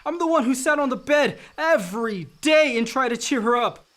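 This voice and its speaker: Burdened grown son. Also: male voice, extremely high pitch, lively intonation, normal speed, fairly bright tone. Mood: bitter outburst. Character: burdened, resentful, dutiful grown elder son. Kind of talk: radio play acting.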